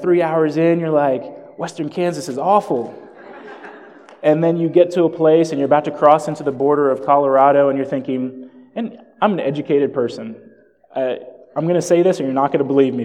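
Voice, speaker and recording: Guy preaching, chatty storyteller, pokes fun at himself, mid pitch, big hall echo. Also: a little mellow. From born in Jamaica, raised in South Florida. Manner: conversational, storytelling with self-deprecating asides. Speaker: pastor. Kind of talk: sermon. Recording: long hall reverb.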